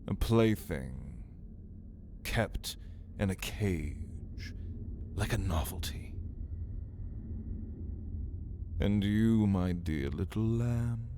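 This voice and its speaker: An ancient male vampire acted out by a man speaks extremely slowly in a low, breathy voice that slips into whispers. He is a refined, hungry, fallen ruler in an intimate ASMR roleplay, menacing and theatrical, with faint noise behind him. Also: mellow, heavily acted. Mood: menacing, teasing. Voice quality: breathy, often dropping into a whisper. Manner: theatrical, archaic phrasing, menacing, drawn-out and whispery. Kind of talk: ASMR roleplay monologue spoken to the listener.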